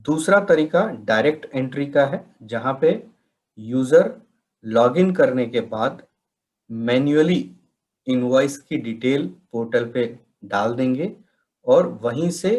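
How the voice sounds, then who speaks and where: A man's voice: mid-range voice, lively intonation, slow, poor audio. A man narrating a tutorial as a trainer, explaining methodically step by step. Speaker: software trainer presenting a tutorial. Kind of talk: instructional slide narration.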